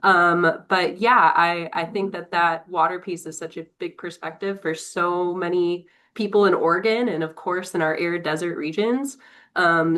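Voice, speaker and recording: A woman's voice: on the low side, a bit bright. A young woman from Tennessee, congested from a cold, giving an upbeat, chatty introduction on a video call with many fillers. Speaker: prospective board member.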